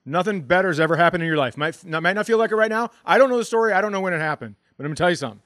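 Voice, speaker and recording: A man's voice: high voice, expressive, rapid-fire, a bit bright, no room sound, studio quality. A man co-hosting a comedy podcast, riffing in casual banter and teasing with mock-serious questions.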